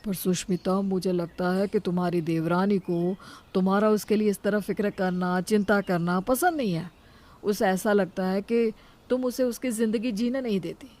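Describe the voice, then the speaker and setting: Woman playing a serious, concerned husband, mid-pitched. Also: steady tone, dark tone, lightly acted. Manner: measured, serious, cautioning. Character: concerned husband, adult man, reasoning with wife. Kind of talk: Hindi moral story character dialogue.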